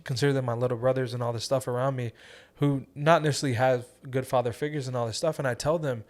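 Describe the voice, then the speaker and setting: A man's voice: mid-range voice, steady tone, quick pace, a little mellow. A young man hosting a casual faith podcast, earnest and encouraging, talking to his guest like a friend and calling him "bro.". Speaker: podcast host.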